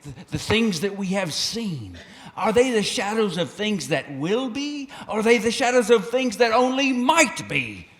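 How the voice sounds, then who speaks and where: An actor playing an older repentant miser who pleads breathlessly and breaks into laughter. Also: male voice, high voice, very animated, a little slow, fairly bright tone, in a large hall, heavily acted. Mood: pleading. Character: repentant old miser, frantic then giddy with joy.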